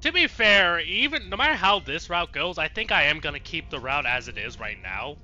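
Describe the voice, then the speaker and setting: A man's voice: a little high, animated, moderate pace, bright, forceful tone. A man giving casual let's play commentary, rambling and chatty as he thinks aloud. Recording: slightly dull sound, faint game music. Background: faint game music.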